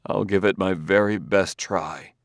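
A man's voice: low-pitched, steady tone, unhurried, dry recording, clean studio sound. A man voicing a weary, dogged male investigator in an audiobook novel, blunt and resigned yet earnest and tender. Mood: gentle, reassuring.

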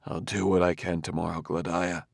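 Man playing a low-voiced, weary, dogged investigator. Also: level tone, moderate pace, vocal fry, husky, dry recording, clean studio sound, heavily acted. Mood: gentle, reassuring. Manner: blunt, resigned, earnest. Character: weary, dogged male investigator from Earth, troubled but tender.

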